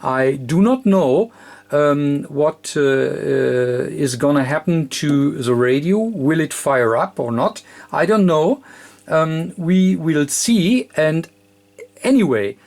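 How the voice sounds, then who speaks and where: A man who is a non-native English speaker, giving an unscripted hands-on electronics demonstration in halting, hesitant, fragmented phrases. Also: on the high side, expressive, vocal fry, a little mellow. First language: not English. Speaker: electronics tutorial presenter.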